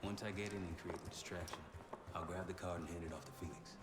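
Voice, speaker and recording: An actor playing a confident, wry covert agent running a heist in a video game. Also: male voice, low voice, fairly even intonation, fast talker, very noisy, close to an everyday voice. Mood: focused, quiet. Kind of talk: video game cinematic acting.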